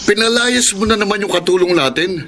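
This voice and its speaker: An actor playing a complaining, exasperated younger brother in a Filipino radio drama, whining a little, with a Filipino accent. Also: male voice, high-pitched, brisk. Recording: loud background ambience noise.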